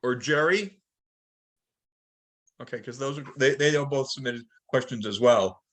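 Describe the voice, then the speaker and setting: Bright-voiced man hosting a group call. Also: medium pitch, measured pace. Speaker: host of a club tech conference call. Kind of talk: casual group discussion.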